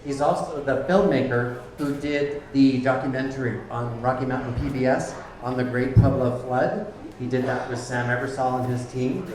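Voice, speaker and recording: A man's voice: medium pitch, moderate pace, darkish tone. Chatty man hosting, echoey room. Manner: chatty, loose and rambling, with many ums. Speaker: event host. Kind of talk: opening remarks at a live community event. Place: back room of a bar. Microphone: handheld microphone from a distance. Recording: echoey room, far from the mic, faint noise.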